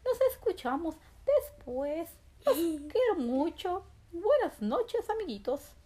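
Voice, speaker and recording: A woman voicing an excitable, childlike little boy rabbit who tells a children's bedtime story with wide-eyed exclamations and drawn-out words. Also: very high-pitched, heavily acted. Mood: happy, affectionate.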